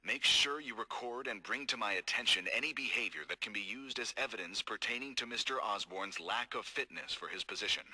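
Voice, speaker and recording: A man voicing a cold, scheming corporate executive in game audio logs, calm, measured and formal. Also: fairly low pitch, husky, heavily acted, rough recording. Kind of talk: recorded game audio log.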